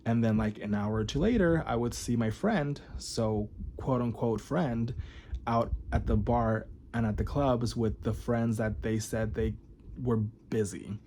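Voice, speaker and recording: A man's voice: medium pitch, a little slow, mellow, a little hiss or hum. A man in his thirties talking candidly to camera in a rambling, filler-heavy confessional vlog. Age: 30s. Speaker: vlogger.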